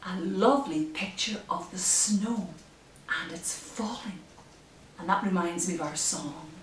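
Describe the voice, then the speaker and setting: A middle-aged woman presenting story time for young children, expressive and animated, in simple phrases addressed to little ones. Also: lowish voice, a little slow, a little hiss or hum. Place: living room, indoors. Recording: roomy, distant camera microphone.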